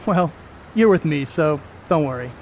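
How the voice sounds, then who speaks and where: Man acting a brash, high-voiced 1930s star ballplayer. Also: animated, moderate pace, a little hiss or hum. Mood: chummy, easygoing. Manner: cocky, needling, folksy slangy talk. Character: Babe Ruth, brash, boastful, crude, star ballplayer. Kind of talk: amateur stage play acting, 1930s period dialogue.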